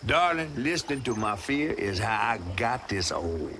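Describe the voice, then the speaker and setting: An actor playing an old, folksy, wry Southern storyteller in a video game, drawling like someone telling a folk tale. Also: male voice, mid-range voice, sing-song, moderate pace, heavy background noise. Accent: American South. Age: older. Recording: music under the voice. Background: game music.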